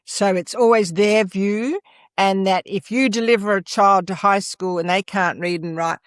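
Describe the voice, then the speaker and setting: An older woman with an Australian accent speaks emphatically, stressing her points again and again. Her voice sits at a middle pitch. It is recorded close and dry, with no room sound. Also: normal speed, no room sound. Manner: emphatic, leans on "absolutely" and "et cetera". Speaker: guest, former school principal. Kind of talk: interview conversation.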